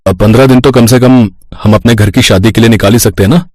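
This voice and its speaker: An actor playing an agreeable, easygoing husband in a cartoon family story. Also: male voice, medium pitch, fairly even intonation, fast talker, darkish tone, no echo, natural voice. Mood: reasoning.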